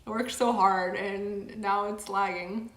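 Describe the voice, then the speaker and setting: A woman's voice: medium pitch, fairly even intonation, slow pace, a bit bright, reverberant, slight background noise, low-quality recording. A young woman hosting a casual livestream, reading chat aloud and rambling with lots of fillers. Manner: rambling, filler-heavy, frequent "like". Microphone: camera mic.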